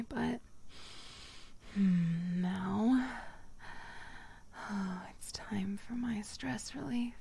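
Whispering woman, slow and husky. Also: on the high side. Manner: dominant and teasing, soft whispers between moans. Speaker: audio roleplay performer. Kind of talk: whispered intimate audio roleplay.

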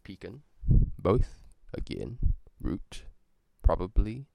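A man's voice: low voice, very animated, slow pace, vocal fry, dark tone, no echo. A young man from New Zealand with a New Zealand accent, chatting casually and rambling through a vlog with self-corrections.

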